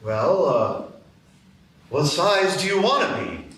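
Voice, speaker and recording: A man's voice: sits high, big pitch swings, fairly bright tone, exaggerated voice. A man as a lazy caterpillar, drawling, roomy hall sound from far off, faint hiss. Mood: drowsy. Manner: slow drawl, hesitant fillers. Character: lazy laid-back caterpillar, drawling and vague. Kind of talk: live radio play acting.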